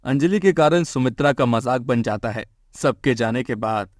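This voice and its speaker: A man tells a scripted moral story as narrator. His pitch is average for a man. The recording is dry, with no room sound. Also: normal speed, no echo.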